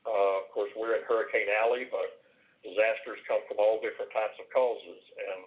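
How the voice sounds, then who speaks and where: Male presenter, low voice, halting conversational delivery, heard through a narrow phone line. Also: fairly even intonation. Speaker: business presenter, managed IT services provider. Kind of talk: sales presentation on a conference call. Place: conference call. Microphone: telephone. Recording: tinny band-limited telephone line.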